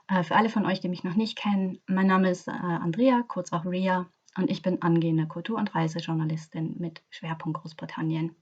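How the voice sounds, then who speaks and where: A woman's voice: on the low side, lo-fi sound. A woman hosting a book podcast, reading her introduction with many hesitation fillers. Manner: reads with frequent hesitation fillers. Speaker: host, culture and travel journalist.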